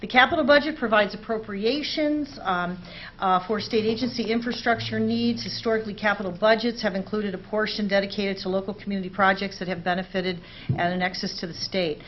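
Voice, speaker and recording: A middle-aged woman, a state legislator, giving formal remarks to a city council in a measured way with many "uh" fillers. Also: medium pitch. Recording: slightly dull meeting recording, faint hiss.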